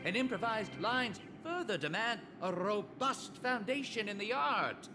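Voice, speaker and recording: A smooth, flattering director who sweet-talks his cast. His voice sits high and moves a lot, and he talks slowly. Loud game music plays behind him. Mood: persuasive. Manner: polished, persuasive, flattering. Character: smooth, flattering male film director, showman.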